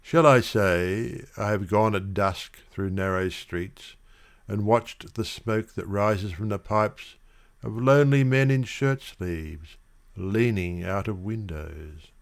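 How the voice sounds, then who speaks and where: A man reciting literary verse dramatically, with measured, expressive phrasing. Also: fairly low pitch, creaky. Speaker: poetry reader.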